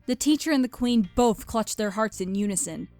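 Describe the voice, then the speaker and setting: Highish-voiced woman narrating a story. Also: lively intonation, normal speed, darkish tone, soft background music.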